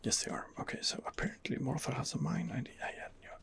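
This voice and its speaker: Whispering man, creaky voice, murmuring to himself. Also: medium pitch, quick pace. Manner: hushed, hesitant murmuring to himself. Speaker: host of a roleplay gameplay series. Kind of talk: ASMR game playthrough, musing in character.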